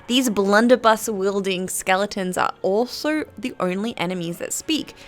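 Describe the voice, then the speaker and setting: A woman with a British accent reads a scripted video game review in an articulate, measured, critical manner. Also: medium pitch. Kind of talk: scripted narration. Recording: faint background noise.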